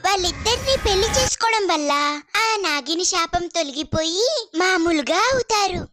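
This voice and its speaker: A woman's voice: extremely high pitch, lively intonation, normal speed, music in the background. A woman reading a scripted storybook narration for children's moral tales.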